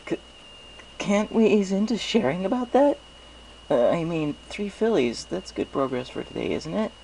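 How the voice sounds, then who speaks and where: A man voicing an anxious, awkward pony outcast who speaks haltingly, in a storybook reading. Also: sits high, big pitch swings, vocal fry, mellow, slight background noise, pitched above the performer's own voice, heavily acted, poor audio. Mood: nervous, pleading. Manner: halting, nervous stammering.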